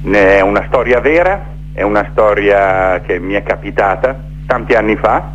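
A man's voice: fairly low pitch, lively intonation, normal speed. A middle-aged man speaks reflectively as a radio interview guest, telling stories and building rhythmic lists. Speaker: theatre maker interviewed as guest. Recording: dull, noisy phone-in with hum.

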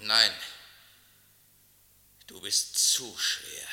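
A stifled young husband in a radio play. He is anguished and slow, with a very sharp, tense edge to his voice. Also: lowish voice, exaggerated voice. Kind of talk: theatrical German radio play acting.

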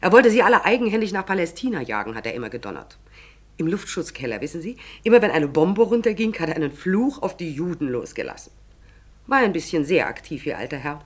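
A woman's voice: fairly low pitch, big pitch swings, fast, slightly rough. An actress playing a brusque, callous, gossipy woman tenant in a 1940s German radio drama, blunt, offhand and sardonic.

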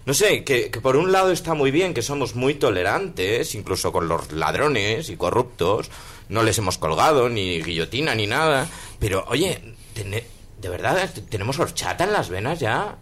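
A man's voice: mid-range voice, very animated, normal speed, a bit bright. A man, an activist guest on a radio talk discussion, speaking bluntly and heatedly.

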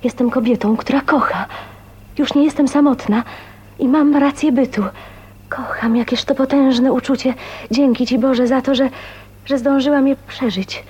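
A woman's voice: high-pitched, steady tone, moderate pace, a little mellow. An actress playing a shy, wistful woman of twenty-nine in love, speaking intimately and hesitantly in a radio drama. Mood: rapturous resolve. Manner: dreamy, emotional, hesitant phrasing with breathy whispers. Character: shy, dreamy 29-year-old spinster, wistful and newly in love. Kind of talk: radio drama acting, intimate monologue and dialogue. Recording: faint noise, 1980s radio drama.

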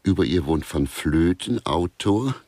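An actor playing a grumpy, disdainful elderly man in a crime radio play, grumbling pedantically and deadpan in a gravelly, husky voice. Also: deep voice, measured pace, no echo, clean studio sound, full character voice. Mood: pedantic brooding. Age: older. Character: Pelz, grumpy elderly male guest, disdainful of modern art. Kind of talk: German crime grotesque radio play dialogue.

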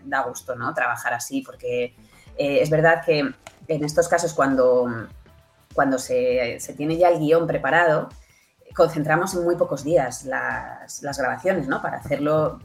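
A woman's voice: low-pitched, creaky. A woman giving chatty, emphatic answers as an interview guest, repeating herself for stress. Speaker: guest, audio fiction producer.

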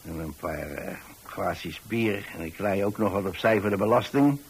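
Gravelly old pensioner, rambling fondly. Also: male voice, low voice, normal speed, exaggerated voice. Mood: reminiscing. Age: older. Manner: hesitant, rambling, chatty monologue. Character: kindly rambling old pensioner landlord, widower-like homebody. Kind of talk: radio drama monologue.

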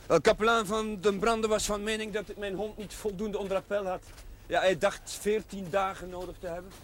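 Higher-voiced, earnest young chaplain. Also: male voice, flat delivery, moderate pace, fairly bright tone. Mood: polite, hesitant. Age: 30s. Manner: hesitant, musing, searching for words. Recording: television drama with faint hiss.

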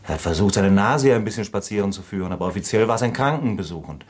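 Radio play: loyal practical friend, low husky voice, plain-spoken, protective, a touch exasperated. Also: male voice, animated, very fast, darkish tone. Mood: reassuring, exasperated. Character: concerned, practical, protective friend of a sick man. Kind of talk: German radio drama acting.

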